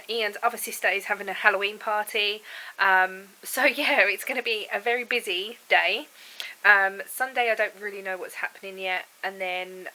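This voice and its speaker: A woman's voice: medium pitch, bright, forceful tone, low-quality recording. A woman with a cold and a froggy, bunged-up throat, chatting casually and rambling to camera from her home. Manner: rambling and chatty, laughs often. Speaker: vlogger. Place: at home indoors.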